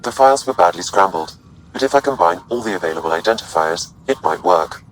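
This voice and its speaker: A man playing a calm, precise artificial intelligence in a video game, speaking formally and without emotion. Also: low-pitched, a little hiss or hum, rough recording. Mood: calm, analytical. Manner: formal, measured, unemotional. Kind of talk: video game dialogue acting.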